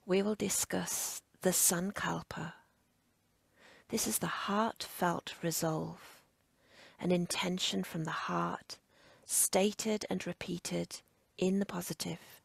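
A woman with a breathy, husky near-whisper guides a relaxation meditation as a yoga nidra instructor, in short, measured, soothing phrases. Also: lowish voice, steady tone, no echo.

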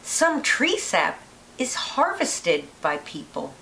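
Female storybook reader, animated and unhurried, deliberate expressive phrasing for young children, slightly hissy recording. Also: on the high side. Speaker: read-aloud narrator, teacher. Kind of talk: children's picture book read-aloud.

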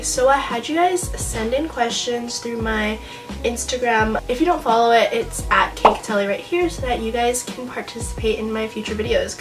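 A teenage young woman with an American accent chatting casually to camera in an informal, self-deprecating way full of slang. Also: fairly high pitch. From Honolulu, Hawaii, United States. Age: teenager, about 16 to 17. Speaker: vlogger. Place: small bedroom, indoors.

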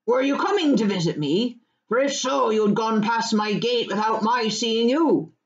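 A woman voicing a gruff but hearty male hobbit farmer in a storybook, with loud, blunt, rustic country speech. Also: a little high, expressive, normal speed, reverberant, lo-fi sound, pitched above the performer's own voice. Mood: shrewd, friendly. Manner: rustic, colloquial, blunt turns of phrase.

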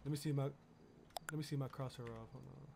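A man's voice: medium pitch, fairly even intonation, fast, gravelly. A man streaming an online role-play game, chatting casually in street slang and firing off repeated phrases. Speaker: streamer role-playing a gang member. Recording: noisy gaming headset microphone.

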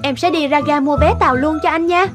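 An actress voices a devoted young country wife in an animated tale. Her voice is high, soft and caring, with music playing underneath. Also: fast talker, close to an everyday voice. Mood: tender concern. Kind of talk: animated moral tale dubbing.

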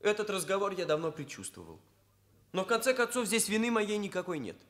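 A man's voice: high-pitched, very animated, brisk, fairly bright tone. An actor playing a self-serious young male hairdresser in a Soviet radio drama, with formal, bookish phrasing. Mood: matter-of-fact, defensive. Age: young adult.

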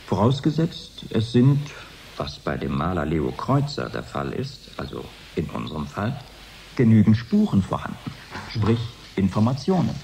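A didactic scientist in a radio play. His voice is mellow and slightly low with lively intonation, measured and academic, over faint noise. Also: gravelly, exaggerated voice. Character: precise, didactic male scientist explaining research. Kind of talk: 1980s German radio drama acting as interview.

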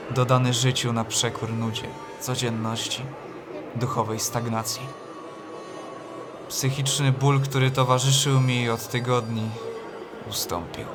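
A man playing an anxious young seminary student who drinks to escape, telling his story in literary radio drama style. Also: medium pitch. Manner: literary, introspective. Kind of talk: first-person radio drama narration and acting. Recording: music under the voice.

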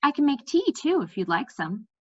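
A woman voicing a brisk, practical, outspoken young princess in a storybook, matter-of-fact and emphatic. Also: high voice, very animated, a little mellow, slightly rough, voice pushed up, full character voice. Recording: slightly dull webcam audio.

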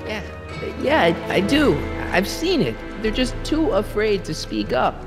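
An actor playing an earnest, exasperated teenage time traveler in an animated video game, talking casually and pleadingly. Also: male voice, sits high, measured pace, exaggerated voice. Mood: exasperated, challenging. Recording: long hall reverb, faint music.

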